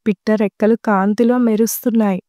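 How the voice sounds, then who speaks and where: A woman narrating a scripted children's story in clear, simple phrasing addressed to young listeners. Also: mid-range voice, fairly even intonation, fairly quick, mellow, no echo, studio quality.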